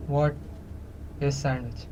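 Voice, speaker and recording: A man playing a bewildered, childlike man in an experimental radio play, asking basic questions in halting, stammered fragments. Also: mid-range voice, little pitch movement, dark tone, close to an everyday voice. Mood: halting puzzlement. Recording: slightly noisy, muffled room recording.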